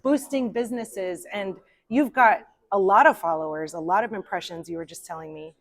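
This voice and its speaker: A woman hosting an interview show, upbeat and encouraging, chiming in with quick affirmations. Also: medium pitch. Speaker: podcast host. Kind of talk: casual interview chat.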